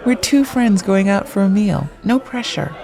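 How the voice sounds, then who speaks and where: A woman playing a wry, blunt writer in her late thirties with a gravelly voice in an audio drama. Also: mid-range voice, animated, normal speed, music in the background, a crowd in the background. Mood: wry, playful. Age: late 30s. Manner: dry, blunt, quippy.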